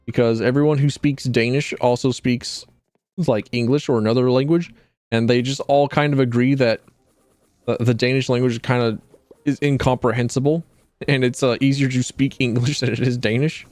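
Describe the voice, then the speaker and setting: A man's voice: mid-range voice, steady tone, normal speed, a little mellow, no echo. A man streaming a video game, chatting casually in a rambling, wry way and often correcting himself. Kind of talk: casual gameplay commentary.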